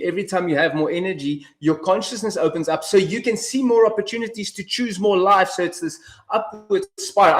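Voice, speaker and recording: A man conducting a conversational interview in an earnest, somewhat halting way, searching for his words. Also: fairly high pitch.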